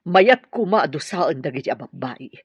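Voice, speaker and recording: An actress playing an exasperated, skeptical mistress of a household in an Ilocano radio drama, husky and grumbling, with an Ilocano accent. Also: female voice, low voice, expressive, normal speed, dry recording, dull audio, full character voice.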